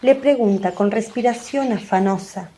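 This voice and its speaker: A woman giving a measured, descriptive scripted narration as the narrator of a radio drama. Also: on the high side, warm tone, a little hiss or hum. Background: faint music.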